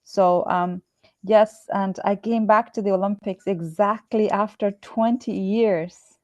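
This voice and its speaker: A woman from Afghanistan, answering interview questions in a measured, sincere way with frequent pauses. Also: medium pitch, steady tone, darkish tone, no room sound, dull audio. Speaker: guest, former Olympian and human rights activist. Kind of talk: earnest conversational interview answers.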